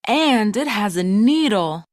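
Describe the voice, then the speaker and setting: A woman's voice: mid-range voice, expressive, slow, darkish tone, dry recording, clean studio sound. A woman voicing a nervous male toy bouncy ball in a storybook, boyish and hesitant, full of bravado. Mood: scared. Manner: hesitant, protesting, putting on bravado.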